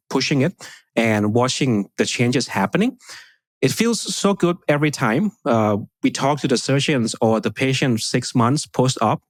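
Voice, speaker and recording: A man's voice: mid-range voice, no echo. Earnest Thai-born man, dry sound. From Thailand. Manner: earnest, plain phrasing with small grammar slips. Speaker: guest, company founder. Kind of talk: podcast interview.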